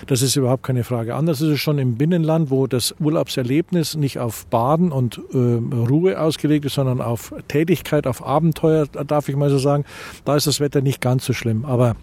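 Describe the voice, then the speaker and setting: A man's voice: medium pitch, fairly quick, warm tone. A middle-aged man from Bavaria with a Bavarian accent, answering interview questions as a tourism association president, hesitant with many fillers and restarts.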